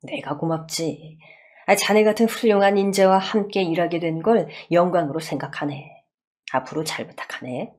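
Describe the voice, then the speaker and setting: A woman's voice: fairly low pitch, normal speed, a little mellow, lightly acted. A woman voicing a grateful, courteous elderly male company boss in a radio story, formal and gracious.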